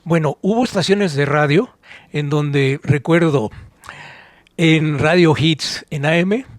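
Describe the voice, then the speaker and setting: A man with a fairly high voice talks as a podcast co-host. He explains and recalls in a conversational yet slightly formal way. The recording is dry and free of room echo. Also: slow.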